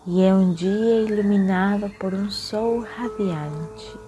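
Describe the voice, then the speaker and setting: A woman leading a guided meditation in a soothing, unhurried manner, with long pauses between phrases. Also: medium pitch. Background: music.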